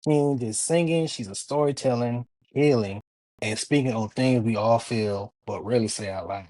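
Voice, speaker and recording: A man's voice: mid-range voice, moderate pace, dark tone, dry recording, low-quality recording. A man hosting a casual interview podcast, talking in a laid-back, slangy, hyped-up way. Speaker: podcast host.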